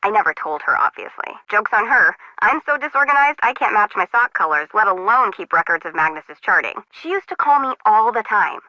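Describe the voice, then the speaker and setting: A woman's voice: mid-range voice, very animated, fairly quick, hardly any pauses, no echo, highs cut off, close to an everyday voice. An actress playing a chatty, disorganized, slangy young female harbor worker in a video game, bubbly and wry. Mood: gossipy, wry.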